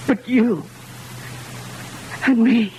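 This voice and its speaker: An actor playing a panicked, jittery husband in a 1940s-style radio horror drama, stammering, breathless and urgent. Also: male voice, very high voice, extremely slow, darkish tone, heavily acted. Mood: agitated. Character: panicked husband, jittery, trying to keep control. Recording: noisy old radio drama recording.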